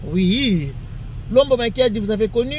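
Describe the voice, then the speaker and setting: A woman's voice: on the high side, expressive, normal speed, a crowd in the background. A Congolese woman whose first language is Lingala, chatting playfully and laughing as she orders food at a drive-through from her car. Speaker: vlogger. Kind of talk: casual vlog chat. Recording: car and traffic noise.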